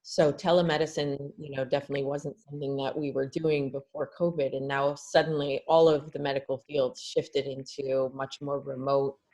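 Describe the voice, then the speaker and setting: A woman's voice: very deep voice, level tone, moderate pace, few pauses, darkish tone, low-quality recording. Earnest woman doctor explaining on a video call. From Canada. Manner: earnest, explanatory, with frequent fillers. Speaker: guest doctor. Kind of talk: webinar interview answers.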